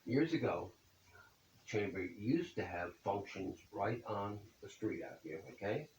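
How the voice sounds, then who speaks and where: A man's voice: on the low side, animated, a little slow, a little mellow. A man in his seventies answering interview questions about his business in a folksy way, often ending points with "okay?". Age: 70s. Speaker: accountant, business owner. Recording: roomy echo, distant mic, faint hiss.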